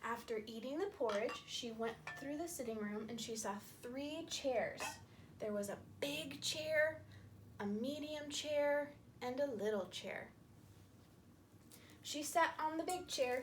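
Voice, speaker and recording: A woman with a high voice reads a story for small children. She speaks slowly, repeating and stressing simple phrases so little listeners can follow. There is some noise behind her. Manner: slow, repetitive, emphatic, explaining to small children. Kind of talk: story time for young children, narrating with puppets.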